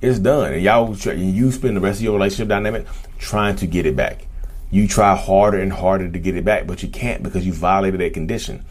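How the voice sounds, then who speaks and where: A man in his thirties with a very low, rough-edged voice. He talks in a casual, slangy way straight to his audience. His delivery is emphatic, often circling back to repeat a phrase. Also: fairly quick. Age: 30s. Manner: animated, repetitive and emphatic, slangy, acts out imagined dialogue. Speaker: YouTube host speaking about his own narcissism. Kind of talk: casual direct-to-camera talk.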